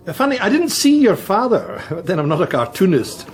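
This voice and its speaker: An actor playing a nosy gossip who teases and insinuates with feigned innocence. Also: male voice, high-pitched, sing-song, fairly quick. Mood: slyly needling. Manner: sly, teasing, feigned innocence. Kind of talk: British political drama acting.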